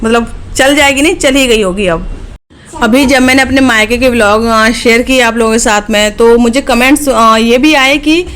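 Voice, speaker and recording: A woman's voice: a little high, moderate pace, a bit bright. Chatty woman vlogger, late twenties. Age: late 20s. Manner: chatty, direct, frequent "okay" check-ins. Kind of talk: casual chat to viewers.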